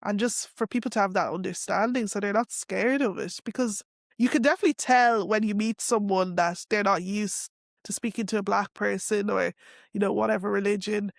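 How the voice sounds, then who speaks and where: A woman's voice: medium pitch, fairly quick, vocal fry, no echo, studio quality. A young woman, answering a podcast interviewer in a frank, earnest way and leaning on "like" and "you know". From Ireland. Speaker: interview guest.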